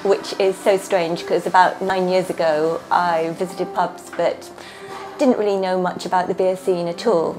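A woman's voice: medium pitch, poor audio. A woman, co-founder of a brewery, talking enthusiastically about the business in a chatty voice-over interview. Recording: music playing under voice.

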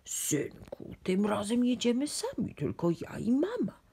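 A woman voicing a calm, gently firm parent mouse in a storybook, explaining things soothingly as if to a child. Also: on the high side, a little slow, creaky, slight background noise, heavily acted. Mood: matter-of-fact. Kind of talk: children's storybook read-aloud.

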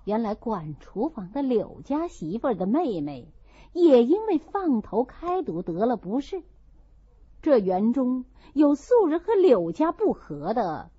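A woman narrating a classic novel as an audiobook in an expressive storytelling style. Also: a little high, husky, dry recording. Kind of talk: expressive literary storytelling, character voices. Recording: dull lo-fi dry recording.

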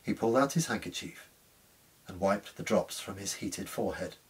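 Measured man narrating, slightly distant. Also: fairly low pitch, slightly rough. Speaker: actor reading a short story. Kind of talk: dramatic literary narration. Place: small living room at home. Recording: slightly distant room microphone.

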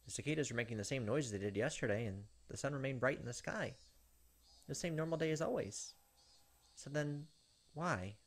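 A man's voice: mid-range voice, expressive, normal speed. A man in his thirties hosting a gameplay video, expressively reading a visual novel's narration aloud.

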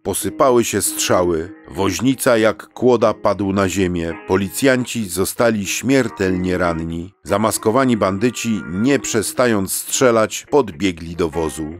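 A male storyteller with a fairly low voice reads scripted storybook narration. Soft plucked guitar music plays faintly behind him. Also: a little slow, few pauses.

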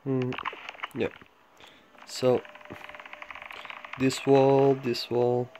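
Slow-talking man on a noisy recording. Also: completely flat delivery. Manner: low-key, thinking aloud in short fragments. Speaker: gamer making a walkthrough guide. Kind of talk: casual gameplay commentary. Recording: clearly noisy home recording with clicks.

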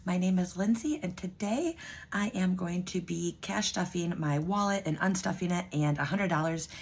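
A woman's voice: lowish voice, moderate pace, husky. A woman in her thirties chatting at home in a budgeting vlog, losing her voice, rambling with ums and restarts. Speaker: budgeting vlogger. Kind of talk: casual chat to camera.

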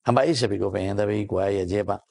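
Low-voiced Paraguayan priest. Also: male voice, fairly quick, creaky, darkish tone, no echo. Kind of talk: sermon with scripture reading. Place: small indoor wood-panelled chapel. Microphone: lapel mic.

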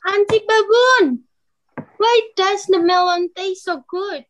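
A young woman playing a curious, polite elephant child in a readers theater, asking questions in a drawn-out way. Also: very high voice, a bit bright, full character voice, low-quality recording. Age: young adult. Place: room at home, on video call. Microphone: video call microphone.

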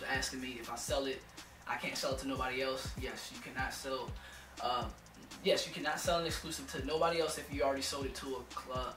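A hoarse young man in his twenties giving blunt, profane advice to music producers in a casual home studio vlog. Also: medium pitch, roomy sound, recorded from a distance. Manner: blunt, swears freely, lots of "you know what I'm saying".

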